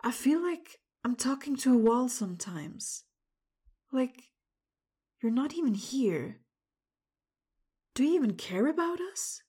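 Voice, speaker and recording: A woman speaking straight to the listener, first frustrated and confrontational, then soft, apologetic and tender, much of it in a breathy whisper. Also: a little high. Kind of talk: roleplay audio addressed to the listener.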